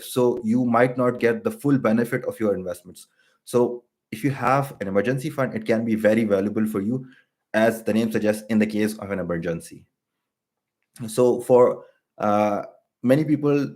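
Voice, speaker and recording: A man in his thirties from Pakistan, explaining personal finance to viewers on a live stream, with frequent ums and uhs. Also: mid-range voice. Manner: hesitant, many ums and uhs, steady and explanatory.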